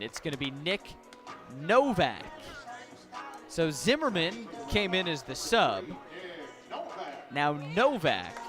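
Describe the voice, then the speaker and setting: Animated high-voiced male baseball announcer. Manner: animated, dramatic pauses, swelling on big plays. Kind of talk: live radio sports commentary. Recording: crowd and music behind the commentary. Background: ballpark crowd and stadium music.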